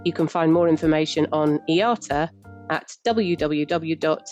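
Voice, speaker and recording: Woman announcer, very low voice, slow careful sponsor read, music underneath. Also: a little mellow. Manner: slow, precise reading. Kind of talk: scripted announcement.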